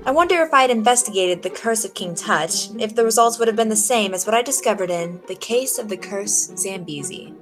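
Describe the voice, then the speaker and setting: A sharp, confident lady detective acted by a woman in a 1940s-style radio whodunit, low for a woman's voice or near the middle. She presses with pointed, theatrical questions while music plays clearly behind her. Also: expressive, brisk, few pauses, close to an everyday voice. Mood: matter-of-fact. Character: sharp, confident female detective interrogating suspects. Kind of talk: old-time 1940s radio detective drama acting.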